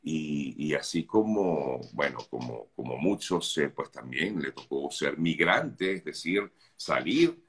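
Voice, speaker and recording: A man's voice: a bass voice, very animated, takes it slowly, hardly any pauses. A man hosting a morning radio interview, chatty and friendly, with lots of fillers. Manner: warm, chatty, full of fillers. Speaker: radio host.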